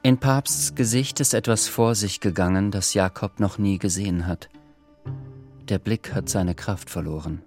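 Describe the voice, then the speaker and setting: A man narrating a radio play, reading literary prose in a measured storytelling manner. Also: sits low, a little mellow. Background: clear music.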